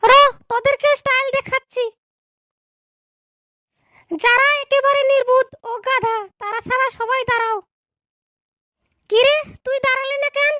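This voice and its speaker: Cartoon teacher, bossy and scolding, very high and dry, voiced by a woman. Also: steady tone, unhurried, pauses often, pushed and bright, dry recording, full character voice. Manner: scolding, lecturing. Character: strict scolding female schoolteacher. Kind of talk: cartoon dub acting.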